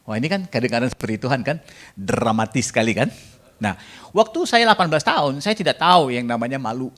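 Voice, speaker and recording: A man's voice: medium pitch, animated, fast, a bit bright. A man preaching a sermon in a jokey way, bantering with the congregation and mixing in English phrases. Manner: jokey, banters with the congregation and asks them to raise hands.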